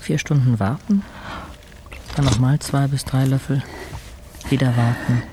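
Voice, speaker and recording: An actor playing a weakened male patient, narrating his recovery in terse, measured fragments as a radio drama monologue. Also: mid-range voice, fairly even intonation, background noise, natural voice. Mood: weary, sober. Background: music.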